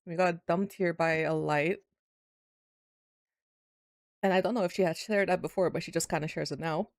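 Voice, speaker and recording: A woman's voice: lowish voice, normal speed, dry recording, studio quality. A woman chatting casually as a player in a tabletop roleplaying game, moving between speaking for her character and table talk.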